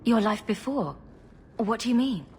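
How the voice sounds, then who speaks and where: A woman playing a caring, steady mage heroine in a fantasy video game, speaking earnestly and gently. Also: on the high side, moderate pace, slight background noise. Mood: concerned. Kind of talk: dramatic video game dialogue. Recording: reverberant sound with faint music.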